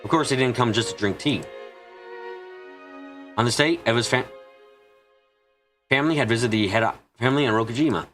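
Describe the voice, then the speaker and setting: A man's voice: mid-range voice, brisk, long pauses, fairly bright tone, faint music behind. A man reading a visual novel aloud as dramatic scripted narration on a stream.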